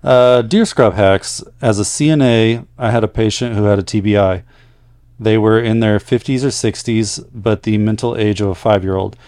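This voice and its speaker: A man with a fairly low voice co-hosts a casual podcast. He speaks conversationally with some hesitant pauses, whether reading letters or commenting as a nurse. The recording is dry, with little room sound. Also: fairly even intonation, darkish tone, clear, resonant tone, no room sound.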